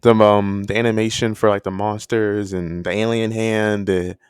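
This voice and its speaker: A man's voice: on the low side, little pitch movement, moderate pace, smooth, dry recording, studio quality. A man with a stutter giving casual, unscripted opinion commentary as a video creator, rambling and often rephrasing himself. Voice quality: stuttering speech. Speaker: video creator reviewing horror animation.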